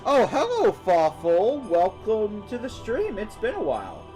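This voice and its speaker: A man with a somewhat high voice streams a video game and talks casually over clearly audible music. He rambles through his opinions in an informal, conversational way. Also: wildly swooping pitch, a little slow, mellow, poor audio. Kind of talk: casual live-stream commentary while playing a game.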